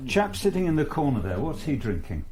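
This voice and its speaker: A calm, probing stranger. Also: male voice, fairly high pitch, a little fast, a crowd in the background. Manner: smooth, probing questioning. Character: probing male outsider, persistent and calm. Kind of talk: radio drama acting.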